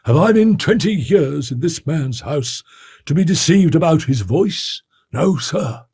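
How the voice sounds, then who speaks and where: A man's voice: medium pitch, drawn-out words, mellow, breathy edge, pitched above the performer's own voice, full character voice. Man acting out a distraught butler, voice raised and strained. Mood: agitated, insistent. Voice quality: harsh, broken voice. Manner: emphatic, deferential, strained. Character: frightened loyal male butler, urgent and distraught. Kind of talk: dramatic audiobook character reading.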